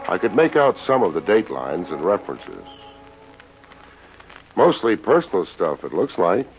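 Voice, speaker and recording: Deep-voiced wry hard-boiled private eye. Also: male voice, wildly swooping pitch, measured pace, faint music behind, heavily acted. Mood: somber narrating. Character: wisecracking, unsentimental male private investigator narrating his case. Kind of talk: 1950s radio detective drama acting. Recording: dull, noisy old radio recording.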